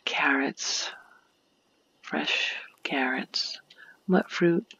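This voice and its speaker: A woman softly narrating an ASMR video game tour in a breathy, near-whispered voice, explaining gently and without hurry. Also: low voice, very slow, lo-fi sound.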